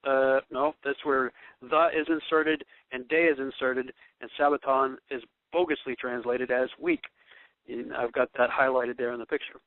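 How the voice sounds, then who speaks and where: A man's voice: medium pitch, flat delivery, normal speed, no echo, phone-quality sound. A man giving an informal bible study talk, thinking aloud and searching for words as he reads and explains verses. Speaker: bible teacher.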